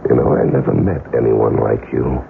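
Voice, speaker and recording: Slangy wisecracking lover in old radio drama, real deep gravelly voice, teasing but wary. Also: male voice, steady tone, moderate pace, heavily acted. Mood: wry, teasing. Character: smooth, wary, wisecracking male lover drawn into murder plot. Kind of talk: 1970s radio drama acting. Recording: muffled, phone-like old radio recording, hiss.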